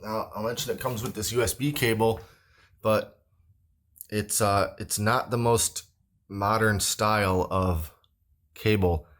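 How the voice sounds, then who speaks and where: A man's voice: fairly low pitch, fairly bright tone. A man giving a casual hands-on product review in an offhand, plain-spoken, slightly hesitant way. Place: indoors at a wooden table.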